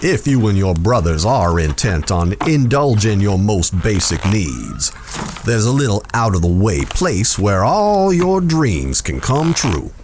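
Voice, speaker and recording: A man acts out a self-important frontier businessman in a Western audio drama. He takes his time, with very animated pitch that rises and falls around polite, formal phrasing. The manner is courtly and measured. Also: noisy background. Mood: politely smug. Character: smooth, self-important Old West saloon owner and businessman.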